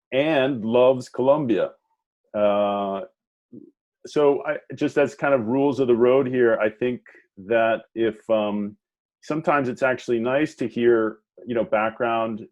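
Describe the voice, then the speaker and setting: A man moderating an online talk, speaking haltingly with many fillers and restarts. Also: lowish voice, darkish tone, muffled recording. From Washington, D.C. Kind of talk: hesitant conversational introduction.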